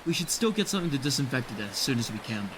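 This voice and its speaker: An actor plays a sensible, practical man in an audio drama. He sounds dry yet reassuring, in an average male register. Outdoor noise is clearly audible behind him. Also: medium pitch, very animated, racing, close to an everyday voice. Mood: calm, concerned. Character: level-headed, dryly practical male companion. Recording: noisy outdoor ambience.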